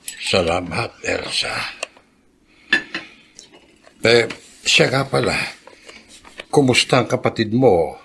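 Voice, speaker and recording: A man acting a concerned elderly father with a gravelly voice and a Filipino accent in a radio drama. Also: fairly low pitch, expressive, takes it slowly, long pauses, noisy background, full character voice. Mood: concerned, uneasy.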